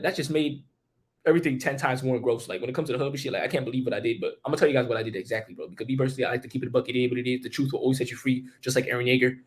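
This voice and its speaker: A young man on a livestream, rambling in a casual, slangy monologue and talking straight to his chat. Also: mid-range voice. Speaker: streamer.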